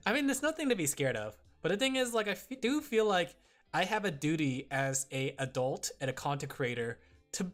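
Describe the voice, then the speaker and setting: A man's voice: high-pitched, fairly bright tone. A man streaming and chatting casually with his viewers, rambling with lots of fillers and frequent giggles.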